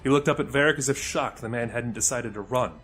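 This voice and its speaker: A man narrates scripted fiction as a storyteller. His voice is mid-range, with quiet music playing behind him. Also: medium pitch, quick pace. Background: faint music.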